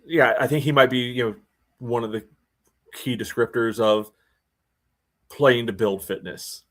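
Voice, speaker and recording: A man co-hosting a sports podcast, giving casual soccer analysis in loose, halting, conversational phrases. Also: mid-range voice, steady tone, fairly bright tone.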